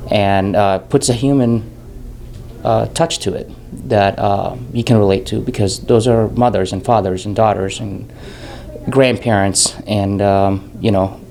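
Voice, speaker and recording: Man, from Jordan, 30s, low voice, candid rambling interview talk, faint hum behind. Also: fairly even intonation, measured pace, vocal fry, a little mellow. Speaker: filmmaker guest.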